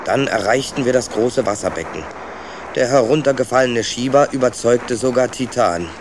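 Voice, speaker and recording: A man's voice: medium pitch, moderate pace, noisy background, close to an everyday voice. A man playing a rat who narrates his youthful journey in a scripted children's radio play. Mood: wry.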